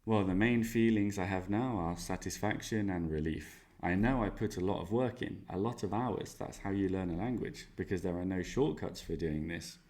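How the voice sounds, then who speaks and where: Man doing a young male student, Spanish accent, upbeat and jokey, low voice. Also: normal speed, hardly any pauses, darkish tone, lightly acted. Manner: upbeat, jokey, boastful asides. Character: young male language student, cheerful and self-mocking. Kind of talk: role-played exam interview answers.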